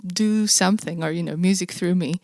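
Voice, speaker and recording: A woman from Slovakia, a non-native English speaker, talking as a guest composer in a casual interview, thoughtful and hesitant with many pauses. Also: on the low side, a little mellow, no room sound, clean studio sound.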